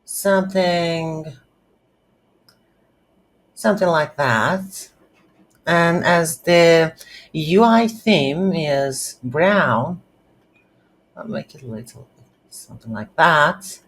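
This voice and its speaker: A woman hosting a casual game development tutorial, speaking haltingly as she thinks aloud while working. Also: sits low, lively intonation. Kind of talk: casual screen-recorded software tutorial.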